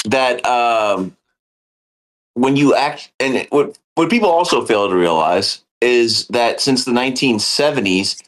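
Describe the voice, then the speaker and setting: Man, history teacher type, earnest explainer, middle pitch. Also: expressive, a little slow, rough recording. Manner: earnest and explanatory, with many fillers. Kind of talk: casual podcast discussion.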